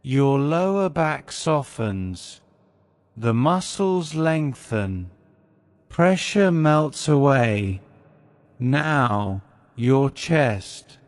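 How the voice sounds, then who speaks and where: A man guiding a scripted sleep meditation in a soothing, gentle, deliberate way. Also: medium pitch, animated, smooth, big-room sound. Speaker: sleep hypnosis guide.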